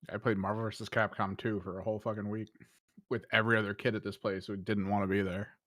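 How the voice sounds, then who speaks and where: A man on a gaming panel telling quick, profane anecdotes from his childhood. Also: on the low side, flat delivery, a bit bright, no echo, studio quality. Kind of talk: casual storytelling.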